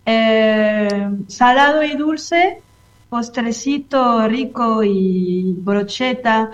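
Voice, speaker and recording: French lady doing a casual interview in spanish, kinda high voice, french accent, bit dull recording, searches for words. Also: drawn-out words. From France. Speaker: interview guest, French cultural institute representative.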